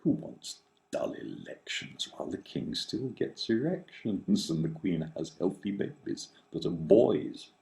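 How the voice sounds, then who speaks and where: A man reciting comic rhyming verse theatrically, in a wry, mock-grand manner with drawn-out dramatic phrasing. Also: low-pitched, expressive, vocal fry, mellow. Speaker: author reading own satirical verse.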